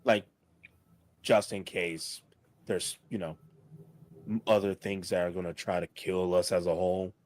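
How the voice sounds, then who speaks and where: A man's voice: deep voice, little pitch movement, unhurried, pauses often, a little mellow. A man playing a tabletop game, chatting in an informal, tentative, improvised way. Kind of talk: casual in-character improvised chat.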